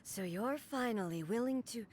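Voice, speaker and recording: A woman playing a solemn, stern warrior deity in a game, speaking formally and with measured gravity. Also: on the high side, expressive, a little mellow, a little hiss or hum, lightly acted. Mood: solemn resolve.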